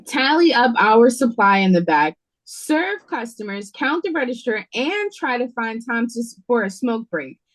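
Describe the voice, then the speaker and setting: A frazzled waitress on the night shift, acted by a woman. Her voice sits on the high side, and she talks informally with a sassy, exasperated edge, as in an audio play. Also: very animated, a little slow, hardly any pauses, poor audio. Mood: fed up. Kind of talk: audio drama read-through. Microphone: video call.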